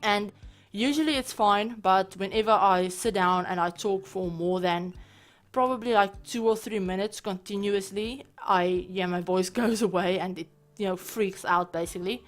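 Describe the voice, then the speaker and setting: A woman hosting a gaming channel, talking casually over her gameplay with a hoarse, raspy voice, chatty and apologetic, full of fillers. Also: medium pitch, normal speed, faint music behind. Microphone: home voice-over mic.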